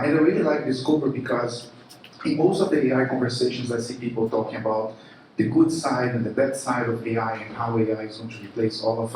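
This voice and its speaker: A man from Brazil with a Brazilian Portuguese accent, giving a casual, animated keynote talk full of fillers and restarts. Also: lowish voice, slight background noise. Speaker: conference speaker, marketing chief. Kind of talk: keynote presentation. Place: large room at a live event. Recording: echoey room from a distance.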